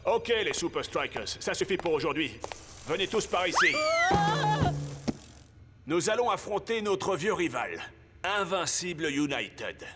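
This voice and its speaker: A man's voice: on the high side, very animated, measured pace, long pauses, over music. A man voicing a stern, commanding football coach in a French cartoon.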